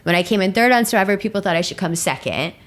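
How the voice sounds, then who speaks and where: A woman's voice: mid-range voice, animated, fast talker. Playful joking woman podcast co-host. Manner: joking, playful, self-mocking. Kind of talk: casual podcast chat.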